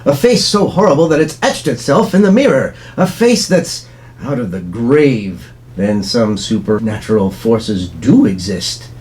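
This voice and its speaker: An actor playing an earnest, alarmed young pulp hero in exclamatory radio melodrama style. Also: male voice, medium pitch, big pitch swings, measured pace, a little mellow. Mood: shocked, alarmed. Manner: exclamatory, theatrical.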